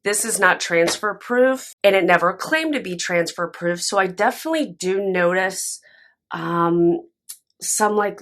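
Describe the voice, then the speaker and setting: A young woman reviewing makeup to camera at home, chatty and candid, her voice raspy and stuffy from a nap. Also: lowish voice. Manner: chatty, candid and expressive, with frequent fillers. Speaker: beauty vlogger. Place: home room with candle and plants, indoors. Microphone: camera in front, sometimes very close.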